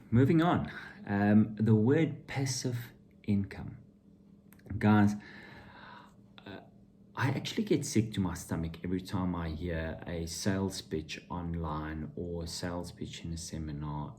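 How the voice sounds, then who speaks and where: A middle-aged man with a dark, mellow voice talks informally to his viewers like a motivational vlogger. He takes his time and hammers his opinions home bluntly, repeating for emphasis. There is faint noise behind him. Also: low-pitched. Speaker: vlogger and entrepreneur giving motivational talk.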